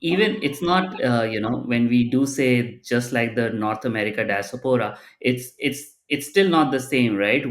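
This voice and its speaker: A man's voice: medium pitch, roomy sound. A man hosting an interview who asks long, hesitant questions full of fillers. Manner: hesitant, many fillers like "you know" and "uh". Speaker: podcast host.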